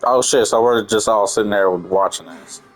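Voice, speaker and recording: A man gives casual gameplay commentary in a lowish voice. He is blunt, slangy and swears freely. Faint music plays behind him. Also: normal speed, low-quality recording. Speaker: gamer reacting to game story.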